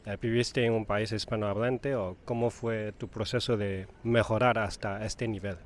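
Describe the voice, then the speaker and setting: A man's voice: fairly low pitch, fairly even intonation, moderate pace, slight background noise. A man interviewing a guest in a casual conversation, asking friendly, probing questions with occasional hesitant fillers.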